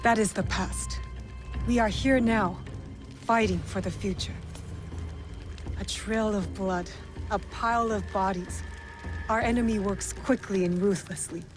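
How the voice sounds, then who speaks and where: Actress doing serious young ninja woman, direct, medium pitch, game music under it. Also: steady tone, measured pace, pauses often, close to an everyday voice. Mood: solemn. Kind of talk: video game cutscene acting. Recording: music clearly behind the voice.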